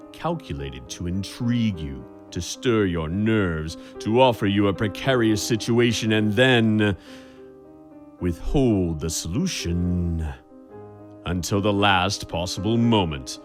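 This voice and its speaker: Guy doing a creepy old-time radio host, low slow voice, very dramatic swings, music behind him. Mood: ominous, theatrical. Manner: dramatic, deliberate, drawn-out phrasing. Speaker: narrator host of a suspense radio play.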